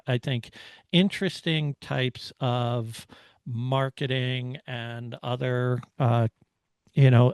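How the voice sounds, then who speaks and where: A man co-hosting a motorsport discussion, talking casually and haltingly as he analyses. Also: medium pitch, gravelly, darkish tone, no echo, clean studio sound. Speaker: motorsport podcast host.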